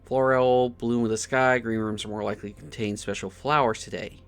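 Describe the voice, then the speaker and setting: A man's voice: mid-range voice, fairly even intonation, fairly quick. A man giving casual playthrough commentary as a gaming streamer, sometimes reading on-screen text aloud. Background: game music.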